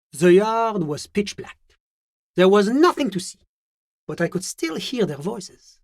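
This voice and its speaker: A fastidious male diplomat from a video game, with a somewhat high voice. He speaks formally and politely, choosing his words with genteel, evasive care. The sound is dry and close. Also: dry recording. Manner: genteel, measured, formal, officious. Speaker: government official character in a video game. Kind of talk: video game character voice acting.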